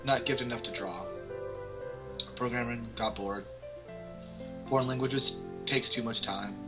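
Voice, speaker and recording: A man's voice: medium pitch, unhurried. Man reading aloud over prominent music. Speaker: amateur let's-player. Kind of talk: casual read-aloud of visual novel narration. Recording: music behind the voice. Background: game music.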